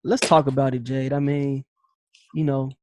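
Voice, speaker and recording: A man's voice: medium pitch, flat delivery, normal speed, darkish tone, slight background noise. A man hosting a podcast, asking questions in a loose, playful way with repeated words. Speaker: podcast host. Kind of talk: casual interview chat.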